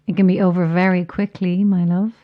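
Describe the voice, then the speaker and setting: An actress playing a cold, taunting caller over a radio in a horror audio drama, measured and ominous. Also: female voice, fairly low pitch, level tone, highs cut off. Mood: quiet menace. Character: cold, menacing female caller, insistent and taunting.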